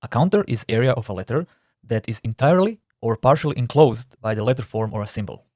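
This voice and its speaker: A man's voice: medium pitch, lively intonation, fast talker, mellow, no echo, clean studio sound. A man with a Croatian accent, a non-native English speaker, explaining typography terms in a careful recorded course narration. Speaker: typography instructor.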